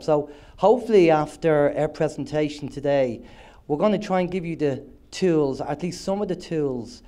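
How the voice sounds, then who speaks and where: A man's voice: on the high side, warm tone. Nasal Irish man with a cold. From Ireland. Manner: chatty, joking, upbeat. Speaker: co-host of an internet radio show, conference speaker. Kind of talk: presenting on stage to a live audience. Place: conference hall on stage.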